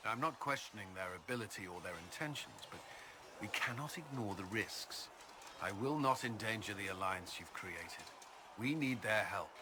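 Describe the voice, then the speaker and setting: Low-voiced, grave military commander played by an actor. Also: male voice, expressive, noisy background, close to an everyday voice. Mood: guarded, firm. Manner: formal, measured, grave. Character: stern, weary military commander and former Templar. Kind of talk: video game voice acting. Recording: music behind the voice.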